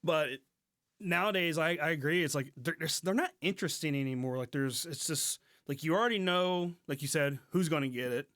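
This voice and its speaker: A man co-hosting a podcast, chatting informally in a halting, conversational way. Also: on the high side, a bit bright, no room sound, clean studio sound. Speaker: podcast co-host. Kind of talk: casual podcast chat. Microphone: close podcast mic on remote recording.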